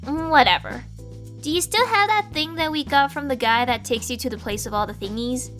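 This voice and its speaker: A woman's voice: high voice, fairly quick, a bit bright, soft background music. A woman voicing a bossy, foul-tempered woman trapped as a little girl, ranting sarcastically with cutesy stand-in swears, in a comic fan dub. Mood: sarcastic.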